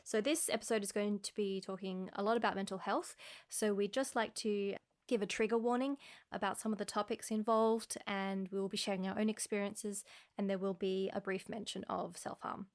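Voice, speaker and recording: A woman's voice: medium pitch, fairly even intonation, normal speed. A woman co-hosting a science podcast, speaking in a friendly, careful way with a few fillers. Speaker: podcast co-host. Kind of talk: casual podcast chat.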